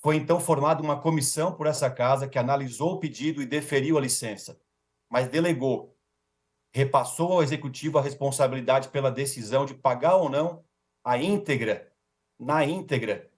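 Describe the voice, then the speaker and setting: A man's voice: mid-range voice, fairly quick. Formal Brazilian man delivering a legal defense. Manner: rhetorical, emphatic repetition, formal address. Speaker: defense lawyer.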